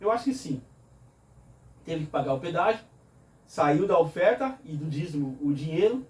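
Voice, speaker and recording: Earnest man, high and echoey. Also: expressive, moderate pace, darkish tone. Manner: earnest, explains with rhetorical questions. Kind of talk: conversational preaching in a talk show. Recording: roomy echo, from a distance.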